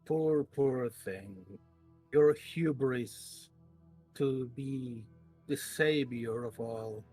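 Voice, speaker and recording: An ominous old man in a tabletop game, acted out by a man, speaks with slow, mocking pity. He pronounces doom in a middling pitch over faint music. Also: a little mellow. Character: stumbling old man, ominous, mock-pitying doomsayer.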